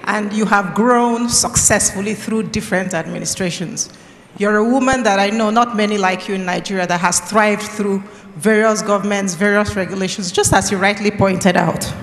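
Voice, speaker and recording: A woman's voice: mid-range voice, normal speed. Woman audience questioner; polite, formal, courteous; echoey hall. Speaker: audience member asking a question. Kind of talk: conference question.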